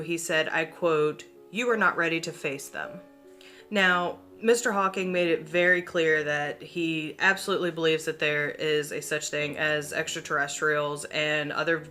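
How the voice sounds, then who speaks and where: A young woman talks to her viewers like a chatty YouTube host. Her voice is slightly low for a woman, with a bright, forward edge. She keeps it informal, leaning hard on key words and swearing casually, over faint background music. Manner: informal, swears casually, emphatic word repetition. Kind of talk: casual chatty commentary to viewers on internet mysteries.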